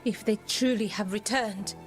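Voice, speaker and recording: A woman's voice: mid-range voice, steady tone, normal speed, faint music behind, close to an everyday voice. An actress playing an earnest, solemn female elven magic expert in a video game cutscene. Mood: grave, earnest.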